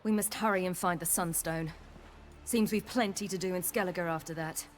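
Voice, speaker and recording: A woman's voice: fairly low pitch, fairly even intonation, moderate pace, a little hiss or hum, lightly acted. A woman with a British accent playing a headstrong, fiery young warrior in a fantasy video game. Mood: urgent. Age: young adult. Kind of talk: video game cutscene acting.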